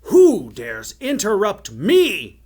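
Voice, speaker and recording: A menacing fire wizard tyrant from a storybook, with a raised pitch that swings widely. He stretches his words theatrically and sounds sinister and imperious. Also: wildly swooping pitch, slow pace, a bit bright, exaggerated voice. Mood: enraged. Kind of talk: dramatic storybook villain.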